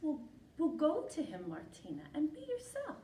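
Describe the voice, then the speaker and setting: Insistent old granny cockroach for a folktale , done by a woman: coaxing, high, echoey room. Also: huge pitch swings, moderate pace, mellow, distant mic, heavily acted. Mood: encouraging. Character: old cockroach grandmother, meddling, encouraging. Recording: echoey room from afar, noisy.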